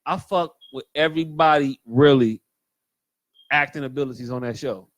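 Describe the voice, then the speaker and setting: Animated, profane man co-hosting a podcast. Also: mid-range voice, normal speed, no echo. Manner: animated, profane, riffing and joking. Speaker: podcast co-host. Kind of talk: casual chat.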